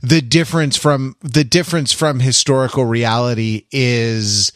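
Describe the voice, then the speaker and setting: A man's voice: medium pitch, fairly even intonation, measured pace, fairly bright tone, no room sound, studio quality. A man co-hosting a podcast, chatting casually in a wry, digressive way as he thinks aloud. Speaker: podcast co-host. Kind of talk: casual pop-culture discussion.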